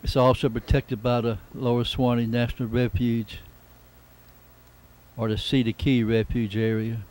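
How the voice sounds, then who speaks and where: A man narrating a casual travelogue in an unhurried, reflective, plain-spoken way. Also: medium pitch, level tone, a little mellow. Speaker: amateur travel videographer. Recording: noisy camcorder sound with outdoor ambience.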